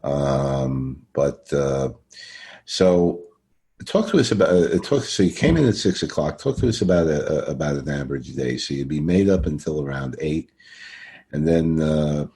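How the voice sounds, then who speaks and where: A man hosting a casual conversational interview, speaking in a halting, rambling way and often repeating himself. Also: very deep voice, expressive, dark tone. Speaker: podcast interviewer.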